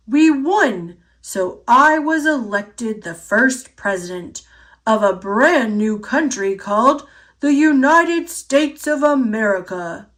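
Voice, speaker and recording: A woman's voice: fairly high pitch, a bit bright. A woman voicing a proud schoolboy who plays George Washington in a stately, declamatory storybook reading.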